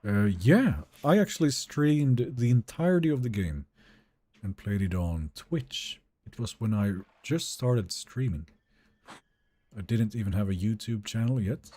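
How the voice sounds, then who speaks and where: A man with a Swedish accent, live-streaming a game and chatting with viewers in a relaxed, talkative way. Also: fairly low pitch, a little slow, husky. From Sweden. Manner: relaxed, chatty, answers chat questions.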